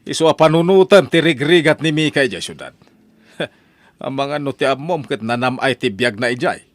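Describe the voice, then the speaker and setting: A man acts out a protective older brother in a radio drama, with an Ilocano accent and a mid-pitched voice. He talks in a chatty, conversational way, like someone passing along news. Overall he sounds caring rather than stern. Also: lively intonation, normal speed, natural voice. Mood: gossiping. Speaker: elder brother.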